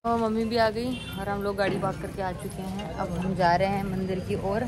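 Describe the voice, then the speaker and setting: A woman's voice: medium pitch, level tone, fairly quick. A young woman vlogging a family road trip in casual chat, laughing often, recorded on a phone. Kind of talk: casual travel vlog chat. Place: in a car and outdoors on a road trip. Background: loud car and street noise.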